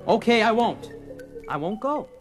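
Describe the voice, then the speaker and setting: An excitable, obsessed family man for a story record. His delivery is earnest and insistent, with wide pitch swings. Music plays behind the dialogue. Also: a little slow. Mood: preoccupied. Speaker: actor. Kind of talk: 1970s dramatised story record acting.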